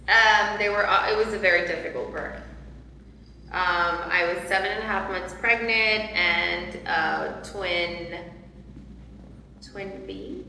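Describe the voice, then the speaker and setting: A woman's voice: on the low side, pushed and bright, a little hiss or hum. A woman in her forties, a panel guest, earnestly retelling a personal story with many fillers. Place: large hall, seated on a sofa. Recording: echoey hall, light ambience.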